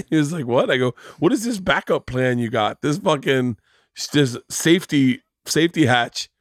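A man's voice: mid-range voice, lively intonation, moderate pace, no room sound, clean studio sound. A man from Florida hosting a podcast, easygoing and jokey in casual chat. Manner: laid-back, jokey, agreeable. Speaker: podcast host.

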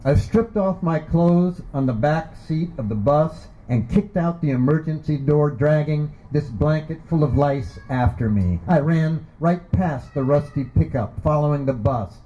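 A man giving a measured, deliberate reading of his own poetry. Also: a little high, dark tone. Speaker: poet reading his work. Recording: muffled lo-fi recording.

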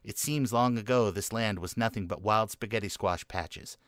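A man's voice: fairly low pitch, normal speed, a bit bright, no echo, studio quality, close to an everyday voice. A man playing a morose, melodramatic boy in a comic gothic radio play, speaking in florid, solemn storytelling fashion. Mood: solemn, grandiose. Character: morose, melodramatic boy telling a doom-laden family curse tale.

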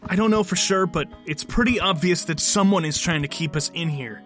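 A man's voice: sits high, brisk, fairly bright tone, soft background music. An actor playing a bitter, sarcastic, foul-mouthed henchman of a ruthless boss in an audio drama. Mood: wry, sarcastic. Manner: sardonic, profane.

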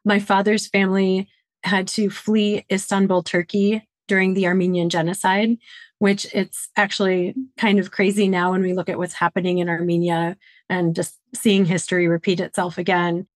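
A woman's voice: mid-range voice, little pitch movement, moderate pace, no room sound. A middle-aged woman from Detroit, a podcast guest talking in an earnest, reflective way about her upbringing and her work. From Detroit, Michigan, USA. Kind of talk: conversational interview answers.

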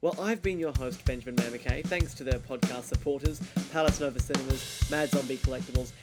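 A man's voice: mid-range voice, a little fast, loud music behind the voice. A man hosting a podcast in a polite, formal presenter style. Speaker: podcast host. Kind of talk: scripted-sounding podcast hosting.

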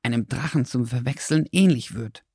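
A man's voice: mid-range voice, moderate pace, gravelly, darkish tone, slightly rough, no room sound, studio quality, pitched above the performer's own voice. A man doing a nervous hesitant dog. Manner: hesitant, murmuring. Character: anxious hesitant male dog.

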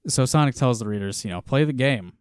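A man co-hosting a casual podcast, walking through a comic with frequent laughter and quiet trailing-off lines. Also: medium pitch, lively intonation, fast talker, clean tone, dry recording, clean studio sound. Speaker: podcast co-host. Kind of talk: casual chat reviewing a comic.

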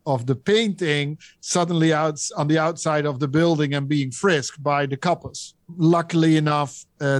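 A man with a Dutch accent talks through an artwork, pausing often with ums and restarts. His voice sits fairly high for a man. The sound is close and dry, with little room around it. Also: no echo. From Netherlands. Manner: hesitant, many ums and restarts. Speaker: auction house specialist. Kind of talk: interview explaining an artwork.

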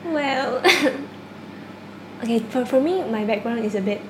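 A young woman from China speaks in a casual interview, hesitant and stumbling over her words, often breaking into small laughs. She is recorded in an echoey room with clear background noise behind her. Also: a little high, lively intonation, slow pace. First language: Cantonese. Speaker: interviewee, university student.

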